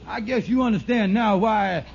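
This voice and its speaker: High voiced sly swindler from a 40s radio sitcom, bad grammar, dull hissy old recording. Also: male voice, normal speed, creaky. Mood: sly, wheedling. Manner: folksy, ungrammatical, hesitating wheedler. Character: scheming, fast-talking male lodge boss running small swindles. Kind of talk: 1940s radio sitcom acting.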